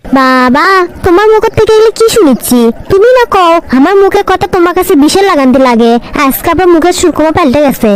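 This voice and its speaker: A woman's voice: very high voice, animated, quick pace. A woman voicing a sassy, vain, whiny young village girl in a comedy cartoon, arguing indignantly. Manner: indignant, argumentative back-talk.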